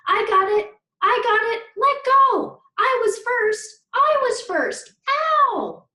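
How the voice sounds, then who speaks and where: A woman voicing excited, squabbling third-grade children in a read-aloud storybook. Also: extremely high pitch, slow, pitched above the performer's own voice, exaggerated voice. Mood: frantic. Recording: roomy, echoey sound.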